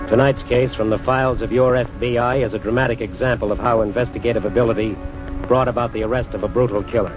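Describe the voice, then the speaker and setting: A man narrating a 1940s radio crime drama in a measured, serious tone. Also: mid-range voice, steady tone. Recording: dull 1940s radio recording with music.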